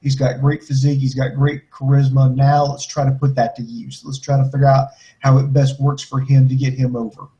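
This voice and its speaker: A man with a mid-pitched voice co-hosts a wrestling podcast. He chats casually through listener questions and news, with a blunt and joking way of talking. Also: completely flat delivery, fairly quick, hardly any pauses, mellow, poor audio. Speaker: podcast co-host.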